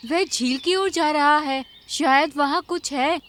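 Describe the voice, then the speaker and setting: A woman's voice: high voice, unhurried, fairly bright tone. A woman voicing a concerned, clever female bird in a cartoon, speaking earnestly. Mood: suspicious, concerned. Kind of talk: cartoon character acting.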